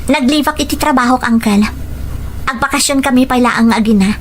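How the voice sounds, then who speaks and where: A woman's voice: high voice, a little fast, a little hiss or hum, close to an everyday voice. A woman with an Ilocano accent acting a hurt, defiant mother guarding her child in an audio drama. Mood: resolute. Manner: emotional, indignant. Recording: music bed under the voice.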